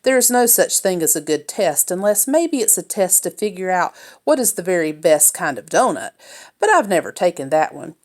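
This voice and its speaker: A woman's voice: lowish voice, very animated, normal speed. A woman reading a children's novel aloud as a first-person narrator.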